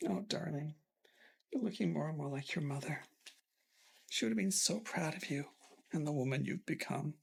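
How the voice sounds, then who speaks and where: Older male tycoon patriarch, gravelly and husky, commanding and stern, a man playing him. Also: on the high side, fairly bright tone, heavily acted. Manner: commanding, scolding, turns weak and coughing later. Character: stern elderly male tycoon father, head of a family firm. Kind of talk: melodrama acting.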